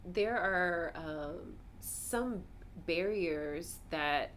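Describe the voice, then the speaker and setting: A woman's voice: fairly low pitch, extremely slow, slight background noise. A woman hosting a casual interview, affirming her guest and asking questions. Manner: warmly affirming, laughs often. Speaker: host.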